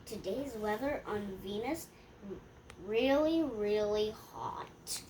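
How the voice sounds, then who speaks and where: A young girl reading a rhyming picture book aloud in a halting way, stumbling and correcting herself. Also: mid-range voice, expressive, dark tone, slight background noise, rough recording. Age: child.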